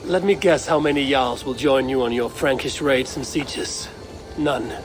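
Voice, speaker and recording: A man playing a guarded, shrewd Viking clan leader in a video game, speaking in a measured, wry and formal way. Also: mid-range voice, natural voice. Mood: skeptical. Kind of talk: video game dramatic dialogue. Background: background music.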